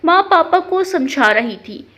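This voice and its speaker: A woman's voice: very high voice, expressive, measured pace, a bit bright. A woman reading a scripted first-person family drama as a story narrator. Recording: dull, lo-fi sound.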